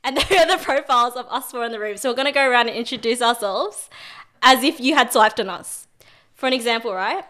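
A young woman hosts a casual chat among friends. She is lively and laughs often. Her voice sits a little high. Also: fast. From Australia. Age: 20s. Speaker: podcast host.

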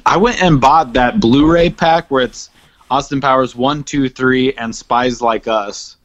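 A man's voice: mid-range voice, measured pace, dull audio. Man chatting casually, emphatic and comedic. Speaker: podcast co-host. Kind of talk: casual comedic movie chat.

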